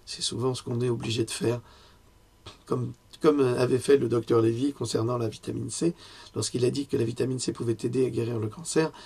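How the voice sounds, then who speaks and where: Hoarse male lecturer, husky throat, chatty delivery full of pauses and self-corrections, mid-range voice. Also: medium pitch, steady tone, a little mellow. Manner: conversational, with frequent fillers and self-corrections. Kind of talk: health lecture with personal asides.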